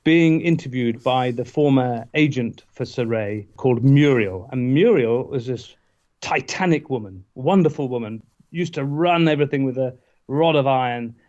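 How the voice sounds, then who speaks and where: A man, a guest in a casual interview, tells anecdotes with halting restarts and wry asides. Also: mid-range voice, a little mellow. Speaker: guest, former parliamentary candidate. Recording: dull lo-fi sound.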